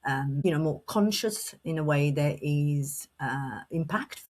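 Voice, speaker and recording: A woman's voice: sits low, measured pace, darkish tone. A middle-aged woman from Romania, a leadership coach answering podcast questions fluently, with restarts and a slight cough. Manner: fluent with frequent restarts and fillers.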